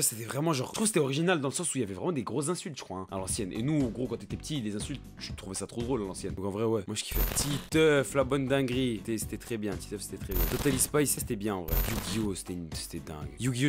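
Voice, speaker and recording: Young guy talking super fast, slangy ranking commentary, repeats himself, music behind. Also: medium pitch. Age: young adult. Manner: excitable, repetitive, blunt. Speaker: video creator presenting a tier list.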